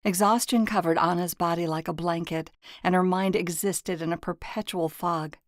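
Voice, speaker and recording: A woman's voice: fairly low pitch, steady tone, normal speed, dry recording, clean studio sound. A woman reading scripted audiobook narration from a novel.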